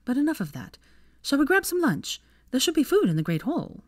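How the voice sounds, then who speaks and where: A sardonic young witch from a storybook, acted by a woman narrator. Her pitch lifts and swings widely, with a pointed edge to her words. The recording is dry and close. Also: big pitch swings, brisk, no echo. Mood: fond, eager. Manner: sarcastic, pointed. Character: sardonic, cold-tempered young witch, weary and affectionate.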